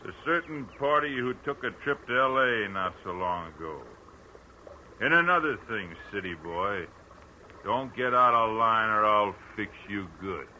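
A big, suspicious hired hand in vintage radio drama. His voice is lowish and very forceful, with blunt folksy phrasing and a threatening edge. The recording carries slight noise. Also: expressive, unhurried, full character voice. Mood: menacing. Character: big, surly, suspicious fired farmhand, menacing and dim. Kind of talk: 1940s radio acting. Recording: faintly noisy old radio recording.

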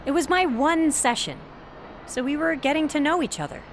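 An actress playing a sheltered young college student in an audio drama, wry, guarded and self-deprecating, in a naturalistic style. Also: female voice, high voice, normal speed, slight background noise, lightly acted. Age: young adult.

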